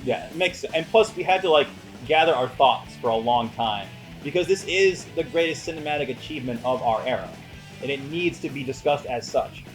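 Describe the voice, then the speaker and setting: A man's voice: mid-range voice, fairly quick, soft background music. A man co-hosting a casual film review, bantering in a mock-serious, hyperbolic way. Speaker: co-host of a movie review show. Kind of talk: casual chat with joking banter.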